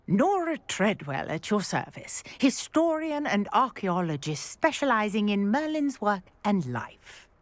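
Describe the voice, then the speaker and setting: A woman playing a brisk, wry historian and archaeologist in a video game, articulate and full of curiosity. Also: medium pitch, sing-song, dry recording. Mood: enthusiastic, intrigued. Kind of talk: video game character acting.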